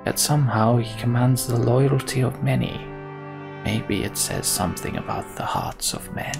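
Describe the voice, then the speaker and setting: A man voicing a weary, solemn old king in a video game, speaking slowly and carefully in a breathy half-whisper. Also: mid-range voice, huge pitch swings, music in the background. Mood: weary, grave. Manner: slow, careful, hushed. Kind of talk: video game character dialogue.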